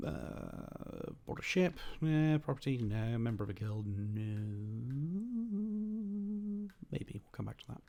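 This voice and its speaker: A slightly hoarse man giving rambling, wry, opinionated commentary while playing a game. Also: lowish voice, normal speed, warm tone. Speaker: gaming host. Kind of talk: casual gameplay commentary.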